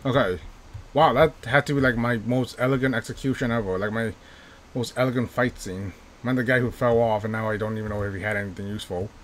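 A man's voice: mid-range voice, fairly even intonation, fast talker, fairly bright tone, slight background noise, low-quality recording. Male let's-play host, casual and self-mocking, repeats phrases under pressure. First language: not English, by his own joke. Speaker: gaming YouTuber.

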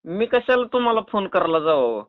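A man's voice: sits high, big pitch swings, brisk, no echo. A man with a rural Maharashtrian Marathi accent playing an argumentative, rambling drunk pestering a woman in a prank phone call. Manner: rambling, stubborn, cheeky. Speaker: prank caller. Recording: narrow tinny phone-call recording.

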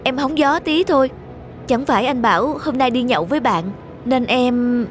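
An actress playing a lonely, hurt young wife in an animated drama, earnest and plaintive. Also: female voice, high-pitched, moderate pace, soft background music, lightly acted. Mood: anxious, denying.

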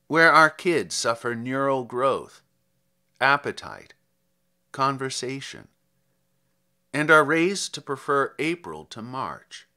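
Man, poet's reading, slow and deliberate, lively pitch movement, dramatic emphasis. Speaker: poet reading own poems. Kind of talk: literary poetry reading.

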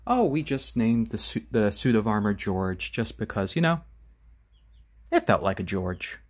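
A man hosting a casual live stream at home on his phone, speaking gently to viewers and to baby chicks. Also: on the low side, very animated, measured pace. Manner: gentle, soft-spoken, talks to chat and chicks. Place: home room. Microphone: handheld phone.

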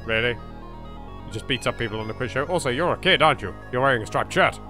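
A man with a British accent giving casual, excitable gameplay commentary, self-deprecating and repeating himself. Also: medium pitch, fast. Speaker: let's play host. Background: game music.

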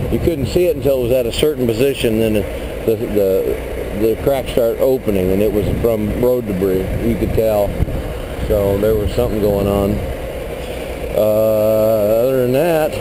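A man, a truck driver, talking casually and rambling as he walks around his flatbed load outdoors, recorded on a body-worn camera. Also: medium pitch, background noise. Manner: rambling, plain-spoken, mild cursing. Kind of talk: casual walkaround vlog. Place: outdoors at a truck lot beside a flatbed trailer. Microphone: body-worn action camera.

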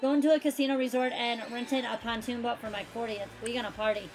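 A woman's voice: on the high side, steady tone, fast, poor audio. A woman in her thirties chatting casually with friends while streaming a game, filling her talk with "like". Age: 30s. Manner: chatty, fills speech with "like". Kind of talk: casual chat while gaming.

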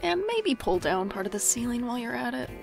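An actor playing a wry, self-deprecating young adult in an audio drama, speaking and narrating in a dry, deadpan way. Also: medium pitch, a little fast, creaky, music in the background.

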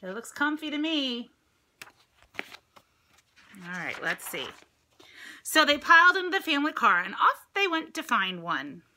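A woman's voice: high-pitched, big pitch swings, unhurried, long pauses, pushed and bright. A middle-aged American woman reads a picture book aloud to children, expressively, with chatty asides and questions to her listeners. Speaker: storybook reader, teacher reading to children. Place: indoors at home, room with dresser and ceiling fan. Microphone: phone or tablet at arm's length.

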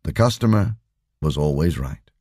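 A man's voice: very deep voice, expressive, normal speed, mellow, no room sound, clean studio sound. A man reading a short story aloud as a scripted audiobook narrator.

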